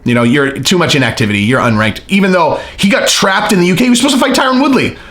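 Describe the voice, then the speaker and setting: A man with an American accent hosting a casual fight-commentary rant, emphatic and animated, repeating his points and swearing. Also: high voice, fast. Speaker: MMA commentary channel host.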